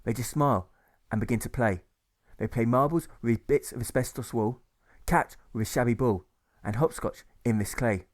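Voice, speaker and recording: A man reading rhyming story narration as an author, with a rhythmic delivery that leans on lists and rhymes. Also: medium pitch. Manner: rhythmic, list-heavy, drawn-out rhymes. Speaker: author reading own audiobook.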